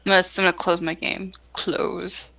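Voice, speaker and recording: A woman's voice: fairly low pitch, moderate pace, vocal fry. A woman chatting casually and giggling as she hosts and reads through a visual novel with friends. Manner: giggly, animated. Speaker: host narrating a visual novel playthrough. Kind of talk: casual group chat with read-aloud narration.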